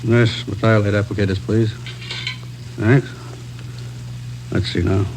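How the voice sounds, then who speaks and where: An actor playing a brisk, businesslike male doctor in a 1950s radio drama, giving crisp clinical orders. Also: lowish voice, steady tone, background noise.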